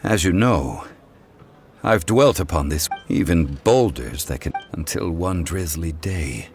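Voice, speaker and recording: A man voicing a dignified ancient god in human form for a video game, formal and measured. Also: sits low, expressive, a little mellow. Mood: reflective. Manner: formal, measured, archaic wording. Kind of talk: video game character dialogue. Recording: faint music behind the voice.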